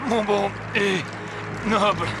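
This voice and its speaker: An actor playing a loyal, grave, self-sacrificing royal servant in a fairy-tale cartoon, with formal, dramatic delivery. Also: male voice, high-pitched, fairly even intonation, slow pace, background noise. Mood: solemn, pleading.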